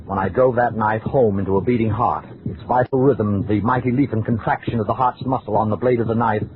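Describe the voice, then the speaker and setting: A man's voice: fairly low pitch, steady tone, brisk, a little hiss or hum, natural voice. An actor playing a cold, precise, increasingly tormented scholar who narrates his murder journal in formal, self-important diction in an old radio drama. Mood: anguished, feverish. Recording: vintage radio, narrow band, music behind.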